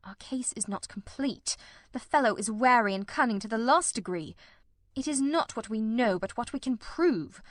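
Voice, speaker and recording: A performer voicing a shrewd, grimly determined male detective in a radio mystery, speaking formally and deliberately. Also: very high-pitched, animated, a bit bright, no echo, clean studio sound. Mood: grimly resolute. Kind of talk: old-time radio mystery drama.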